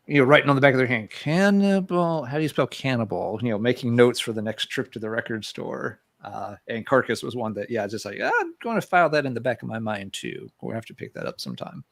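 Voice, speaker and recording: A man hosting a podcast in relaxed casual chat, leaning on fillers like "you know" and "uh". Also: mid-range voice, fairly quick, vocal fry. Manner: easygoing, fills with "you know" and "uh". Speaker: podcast host. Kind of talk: casual chat about metal albums.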